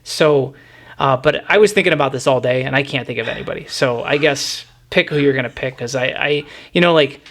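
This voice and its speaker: Gravelly-voiced man chatting casually about music. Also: mid-range voice, fairly quick, a bit bright. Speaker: music podcast host.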